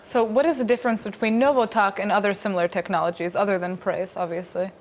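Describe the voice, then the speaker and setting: Woman host asking questions, mid-pitch, light hiss behind her. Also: fairly even intonation, quick pace, a little mellow. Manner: conversational, asks follow-up questions. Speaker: news show host. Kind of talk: studio interview.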